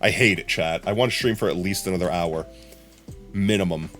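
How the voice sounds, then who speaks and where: A young adult man streaming a game live, chatting casually with his viewers in a hoarse, rambling way. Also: sits low, fairly quick, fairly bright tone, faint music behind. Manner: chatty, rambling, often addressing chat.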